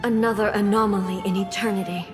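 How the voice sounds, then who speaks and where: A woman voicing a stern, regal shogun in a video game, speaking in a formal, measured, authoritative way. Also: mid-range voice, fairly even intonation, music in the background, close to an everyday voice. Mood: solemn, commanding. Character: stern, regal female shogun ruler, solemn and commanding. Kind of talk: scripted video game acting.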